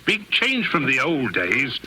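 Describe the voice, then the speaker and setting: Menacing coarse crony, very forceful tone, mocking, old radio drama, faint noise. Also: male voice, medium pitch, wildly swooping pitch, a little slow, exaggerated voice, rough recording. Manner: blunt, jeering.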